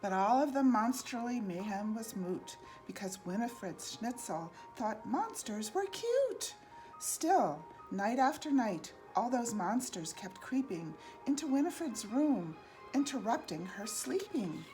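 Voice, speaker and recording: Slow, very lively woman reading a children's story. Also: fairly high pitch, faint music behind, poor audio. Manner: expressive, singsong, deliberate delivery. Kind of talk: read-aloud children's storytelling in rhyme.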